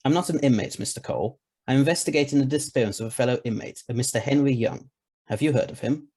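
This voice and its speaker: Male actor as formal gentleman investigator, courteous, probing, soft dark tone, husky, audio drama. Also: mid-range voice, quick pace, close to an everyday voice. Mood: polite, probing. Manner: formal, polite questioning.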